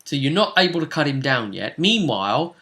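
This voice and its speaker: A man's voice: mid-range voice, sing-song, fairly quick, bright, forceful tone. A man acting as game master, casually recapping a tabletop session in a storytelling way.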